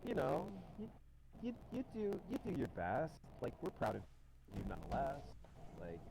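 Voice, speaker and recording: A man's voice: a little high, wildly swooping pitch, moderate pace, gravelly. A man co-hosting a casual podcast, explaining things at length with many restarts. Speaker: podcast co-host. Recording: noisy background.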